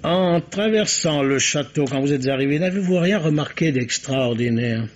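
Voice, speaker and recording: An actor playing a shrewd, courteous police commissioner who questions suspects formally in a radio mystery. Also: male voice, on the high side, animated, normal speed. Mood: measured, probing. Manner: formal, probing, polite. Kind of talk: French radio mystery drama acting. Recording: dull, band-limited radio drama recording.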